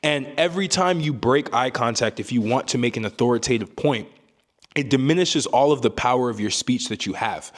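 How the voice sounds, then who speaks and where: A calm young man with a deep voice giving casual advice to camera, often ending points with a rhetorical "right?". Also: a little fast. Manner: calm, emphatic, frequent "right?" tags. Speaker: self-improvement YouTuber.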